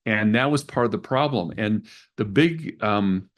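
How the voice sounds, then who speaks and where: Low-voiced man co-hosting talk radio. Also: unhurried. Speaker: radio show co-host. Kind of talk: explanatory talk on self-help and spirituality.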